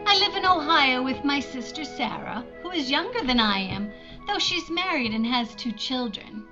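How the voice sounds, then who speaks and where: A shy, wistful woman of old-time radio drama, played by an actress, speaking in a high voice. She is lonely and self-deprecating, with a hesitant, earnest way of talking. The recording is slightly dull. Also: lively intonation, moderate pace, music in the background. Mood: lonely, confiding. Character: shy, lonely, wistful unmarried older sister in Ohio.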